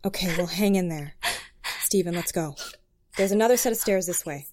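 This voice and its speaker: A woman's voice: fairly low pitch, moderate pace, a little mellow, slight background noise, lightly acted. A woman playing a determined, take-charge agent in an audio drama, speaking with urgency. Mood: firm. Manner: commanding, urgent.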